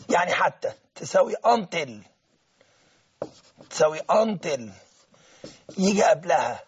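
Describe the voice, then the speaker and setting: A man with an Egyptian Arabic accent, teaching English grammar step by step. He translates each phrase and often clears his throat. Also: fairly high pitch, highs cut off. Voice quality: husky, gravelly voice with frequent throat-clearing. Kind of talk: classroom grammar explanation.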